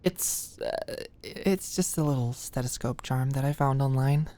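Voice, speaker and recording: A woman's voice: a bass voice, measured pace, warm tone, a little hiss or hum. A woman playing an anxious, stressed-out young medical student in an intimate roleplay monologue, rambling and stammering as she goes. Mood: shy, grateful. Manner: rambling, stammering, hesitant, swearing.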